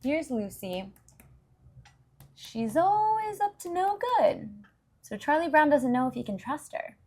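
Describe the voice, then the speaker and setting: A woman with a fairly high voice reads a children's story aloud. She takes her time and pauses for simple explanations, keeping her delivery expressive. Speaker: children's story reader and host.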